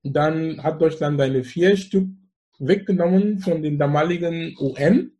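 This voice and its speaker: A man's voice: a little high, measured pace, dark tone, dull audio. A man in his forties from Cameroon with a Cameroonian accent, speaking as an interview guest with emphatic, repetitive enthusiasm. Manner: effusive, emphatic, fond of repeating phrases. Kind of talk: conversational interview.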